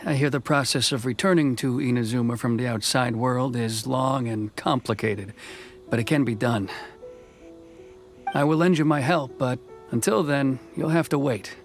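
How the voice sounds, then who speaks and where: A man's voice: medium pitch, moderate pace, lightly acted. Man acting a stern, reserved officer over music. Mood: stern, resigned. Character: stern, dutiful officer man, conflicted but soft-hearted. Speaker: video game character actor. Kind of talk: dramatic game dialogue acting. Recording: music behind the voice.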